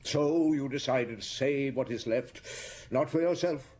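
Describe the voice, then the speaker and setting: A male actor playing a shrewd, probing chief inspector in a radio drama, deliberate and sardonic, with a husky voice. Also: mid-range voice, darkish tone, slight background noise, natural voice. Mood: measured probing. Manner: deliberate, theatrical, sardonic interrogator. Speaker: detective.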